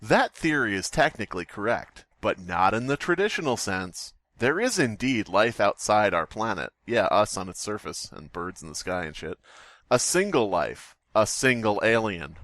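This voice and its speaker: Give the voice of a man co-hosting a story-reading podcast. His pitch swings around in an animated way and he sounds sarcastic and joking. The sound is dry, with next to no room in it. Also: normal speed, no echo. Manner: sarcastic, wisecracking. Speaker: podcast co-host reading stories aloud. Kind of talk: casual joking chat and mock-dramatic story reading.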